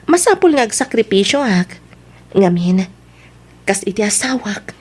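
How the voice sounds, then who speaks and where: A woman's voice: medium pitch, sing-song, measured pace, husky. A Filipino woman acting in an audio drama as a troubled, regretful wife and schoolteacher. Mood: earnest.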